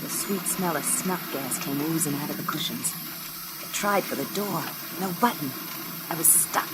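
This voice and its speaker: A woman's voice: deep voice, moderate pace, very noisy, close to an everyday voice. An actress playing a tough, wisecracking female private eye in a noir science-fiction radio play, with a streetwise, sardonic delivery. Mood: wry narrating. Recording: music under the voice.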